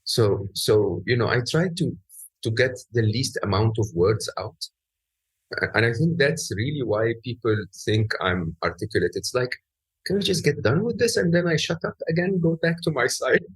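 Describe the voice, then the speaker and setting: A man in his fifties with an other than English, hosting a podcast in casual conversation, soft-spoken with halting restarts. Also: mid-range voice, breathy edge, rough recording. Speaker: podcast host.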